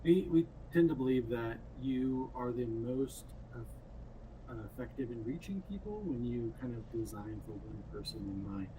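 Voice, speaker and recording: A man's voice: mid-range voice, lively intonation, moderate pace. Reflective man, distant from the mic. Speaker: game director and programmer. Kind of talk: casual panel talk. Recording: distant mic with faint noise.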